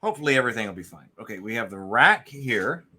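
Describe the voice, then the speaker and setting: Bright-voiced man narrating solo board game play. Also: medium pitch, lively intonation, fast. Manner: thinks aloud, announces dice counts and moves. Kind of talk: casual live play-by-play with narrated moves.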